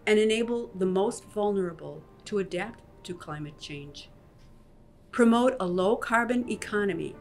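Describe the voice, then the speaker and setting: Older woman, American accent, lowish pitch, measured formal reading, guitar music underneath. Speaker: religious sister. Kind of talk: scripted reading of a formal statement. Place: bright indoor room with large windows. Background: soft guitar music.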